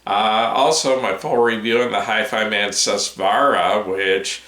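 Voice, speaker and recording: Man with a hoarse voice, roomy echo. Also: fairly low pitch, measured pace, sharp tone. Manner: rambling, with many fillers and restarts. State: hoarse, voice giving out. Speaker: headphone reviewer, channel host. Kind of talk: casual update vlog to camera. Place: home room with his desk.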